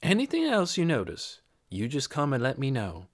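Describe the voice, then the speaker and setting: A narrator voicing a brisk, self-righteous older woman for an audiobook, preachy, folksy and emphatic. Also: mid-range voice, huge pitch swings, no room sound, clean studio sound. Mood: cheerful. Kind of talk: audiobook character voice.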